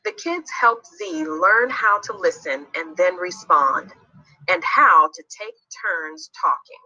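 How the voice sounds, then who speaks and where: A woman's voice: on the low side, lively intonation, takes it slowly, pushed and bright, muffled recording. A woman narrating a children's read-along story expressively, putting guiding questions to young listeners.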